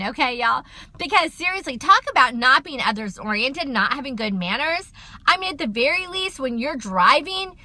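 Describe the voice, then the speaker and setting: A middle-aged woman talks loud and fast into her phone on a livestream, chatty and direct with her viewers. Her voice sits high and comes across bright and forceful. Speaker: counselor and livestream host. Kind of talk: casual livestream talk to viewers.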